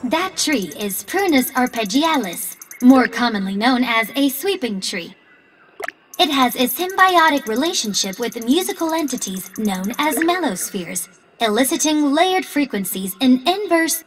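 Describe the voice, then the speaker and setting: Female AI helper, high voice, video game, composed, analytical, formal wording, reverberant. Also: sing-song, unhurried. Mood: informative. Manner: precise, formal, scientific diction. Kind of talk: scripted video game dialogue. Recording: long reverb, faint background noise.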